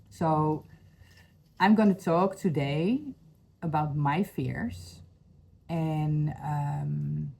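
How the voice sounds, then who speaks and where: A woman with a Dutch accent talks slowly and earnestly to her camera at home, often pausing with fillers to find her words. Her voice sits low and mellow. A faint background hum runs under the recording. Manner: searching for words, many fillers, soft and earnest. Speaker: vlogger. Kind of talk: personal heartfelt talk to camera. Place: home room with a couch.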